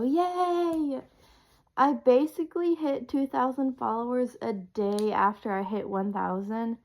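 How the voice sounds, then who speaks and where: A woman's voice: a little high, darkish tone, poor audio. A woman in her twenties getting over a cold, sniffly, chatting casually and rambling with ums and small laughs as a video creator. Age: 20s. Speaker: content creator.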